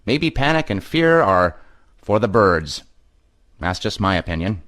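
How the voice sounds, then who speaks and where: An actor playing a timid, earnest cartoon chicken who gives mock editorials in a measured, pompous way. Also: male voice, lowish voice, very animated, fairly bright tone.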